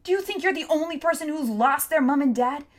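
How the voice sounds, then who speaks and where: A woman voicing a desperate, angry teenage boy who shouts at his friend. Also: high voice, expressive, a little fast, pitched above the performer's own voice.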